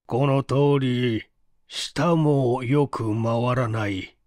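A man voicing a sickly, suspicious rich old man with a gravelly, slurred voice and a halting, nagging manner. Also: mid-range voice, steady tone, darkish tone, dry recording, studio quality. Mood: suspicious, laboring. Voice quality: gravelly, slurred, thick-tongued. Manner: halting, labored, clinging.

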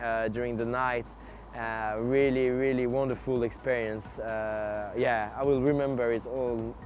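A young man with a French accent, speaking haltingly with many fillers, interviewed outdoors on stone steps. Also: medium pitch, a little hiss or hum. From France. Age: young adult. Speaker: exchange student. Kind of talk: documentary interview.

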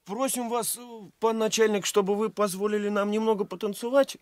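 An actor playing a merry, coaxing young village lad in a radio play. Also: male voice, sits high, steady tone, fairly quick, no room sound, lightly acted. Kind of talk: Soviet radio play acting.

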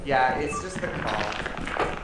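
A man's voice: mid-range voice, expressive, slow pace, very echoey, loud music behind the voice, poor audio. A man on game voice chat who laughs often and yelps when surprised. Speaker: gamer in group voice chat. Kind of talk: casual gaming chat.

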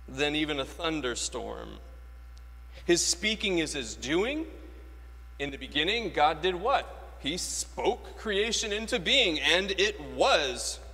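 A man's voice: on the high side, sharp tone. An American man from Florida, an Anglican priest, preaching a sermon emphatically with rhetorical questions and repeated key phrases. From Florida, United States. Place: large church interior, speaking from a lectern. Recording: echoey church with long reverb.

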